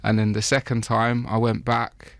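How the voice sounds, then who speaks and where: A man giving an interview, talking in a relaxed, rambling way with frequent laughs. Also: lowish voice, normal speed, creaky, no room sound. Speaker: interview guest, chef. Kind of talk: casual interview talk.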